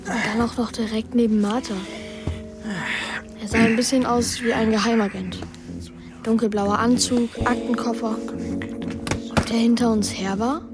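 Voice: mid-range voice, little pitch movement, moderate pace, long pauses, over music, lightly acted. A performer voicing a young boy in a children's radio play, thoughtful and hesitant, worried about his brother, both acting and narrating. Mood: nervous.

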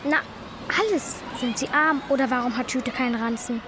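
A woman's voice: high-pitched, normal speed, noisy background, natural voice. A woman playing a nosy, cheeky and blunt schoolgirl in a German children's radio play.

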